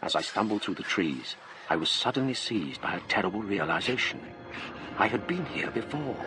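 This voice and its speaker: An actor playing an educated English gentleman who narrates a ghost story in measured, formal radio-drama style with an English accent. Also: fairly low pitch, expressive, over music, natural voice. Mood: mounting dread.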